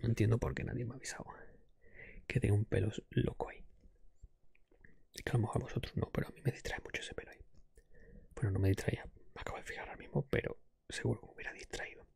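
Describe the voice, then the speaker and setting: Whispering, breathy low-voiced man with heavy fry. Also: steady tone, fast, pauses often, dry recording. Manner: soft whispering and murmuring. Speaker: ASMR podcast host. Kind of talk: whispered ASMR chat, unscripted. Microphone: close microphone.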